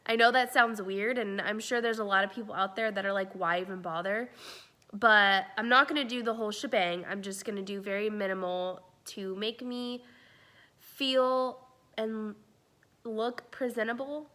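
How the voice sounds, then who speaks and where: A young woman in her twenties with a cold, chatting casually through a makeup tutorial at home and sometimes whispering softly. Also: medium pitch, steady tone, moderate pace. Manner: chatty, drops to soft whispers while applying products. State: congested with a cold. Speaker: beauty vlogger. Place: small room at home, indoors. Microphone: camera in front at close range.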